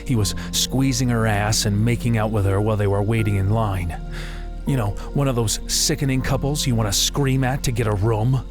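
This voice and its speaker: A man's voice: fairly low pitch, normal speed, close to an everyday voice. An actor playing a guarded, self-deprecating young American working-class man in an audio drama, with a slangy, laconic way of talking. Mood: regretful, bitter. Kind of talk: naturalistic audio drama dialogue. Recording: music playing behind the voice.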